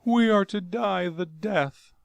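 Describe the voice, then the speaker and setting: A man voicing a cringing, terrified male courtier who whimpers and pleads in a shrill voice. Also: takes it slowly, gravelly, no echo, heavily acted. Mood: moaning despair.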